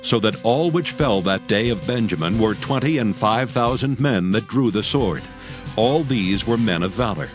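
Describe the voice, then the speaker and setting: Male audio Bible narrator, deep register, solemn measured storytelling, prominent music underneath. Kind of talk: scripted dramatized scripture narration.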